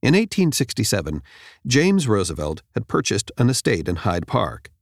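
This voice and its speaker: A man reads a nonfiction audiobook in a measured, clearly enunciated way, close to the microphone. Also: on the low side, huge pitch swings, no echo. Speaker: audiobook narrator. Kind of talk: scripted nonfiction audiobook narration. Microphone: close studio microphone.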